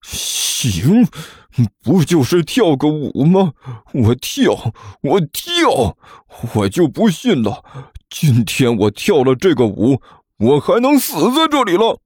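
A man voicing an exasperated, hot-tempered young male student in an audiobook, stammering and whining in shrill outbursts. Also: huge pitch swings, slow pace, darkish tone, breathy edge, no room sound, studio quality, heavily acted. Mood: seething. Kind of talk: audiobook character acting.

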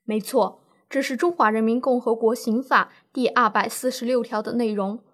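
Actress as female lawyer: fairly high voice, composed, reassuring, formal explanations, radio drama. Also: steady tone, normal speed, fairly bright tone. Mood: explanatory. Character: calm, reassuring female lawyer advising a client. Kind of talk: scripted legal-education radio drama.

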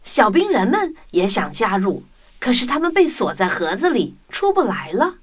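A woman narrator with a fairly high, hugely animated voice tells a children's story expressively. Music plays clearly behind her. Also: moderate pace.

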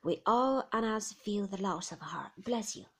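A woman's voice: medium pitch, expressive, measured pace, husky. A woman reading aloud, voicing a kindly middle-aged fisherman with plain, homely speech.

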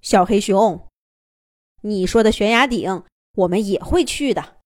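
Storybook rabbit team leader read by a woman, calm and soft-hearted, measured reassuring delivery. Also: medium pitch, no echo, clean studio sound, close to an everyday voice. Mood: commanding calm. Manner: steady, reassuring, gentle. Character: calm, kind rabbit rescue captain, male. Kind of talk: children's storybook reading.

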